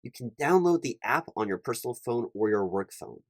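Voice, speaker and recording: A man's voice: medium pitch, animated, a little fast, dry recording. A man playing an officious, polite recruiting manager in a one-sided ASMR roleplay, talking in a wordy, hesitant way and repeating himself. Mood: officious, explanatory. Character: officious, rambling male corporate recruiting manager, polite and awkward.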